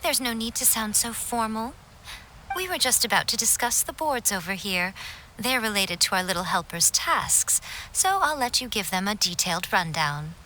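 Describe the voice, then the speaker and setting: A woman playing a sly, persuasive, teasing festival organizer in a video game, speaking in a measured and eloquent way. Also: mid-range voice, animated, pushed and bright, slight background noise, poor audio. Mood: playful, assured.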